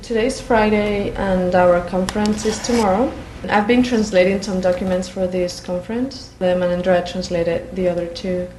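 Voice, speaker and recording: A woman's voice: mid-range voice, steady tone, moderate pace, few pauses, poor audio. A woman from Spain, an interpreter explaining her work in an emphatic documentary interview. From Basque Country, Spain. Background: background music.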